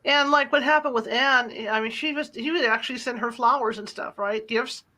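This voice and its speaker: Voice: medium pitch, normal speed, sharp tone. An interview host asking questions casually, with hesitant restarts.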